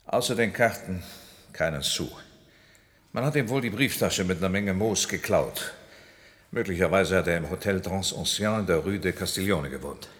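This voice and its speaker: A man playing a gruff, suspicious police commissioner in a crime radio play, probing and insistent, with a gravelly voice. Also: moderate pace, fairly bright tone. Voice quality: gravelly, low. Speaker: supporting actor. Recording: long hall-like reverb.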